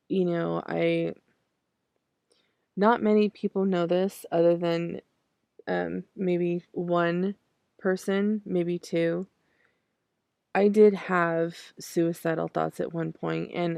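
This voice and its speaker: Raspy gravelly lady, low voice, halting podcast chat, dry close mic. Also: darkish tone, dry recording. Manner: halting, many restarts and fillers. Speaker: poetry podcast host. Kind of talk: casual talk and poetry reading.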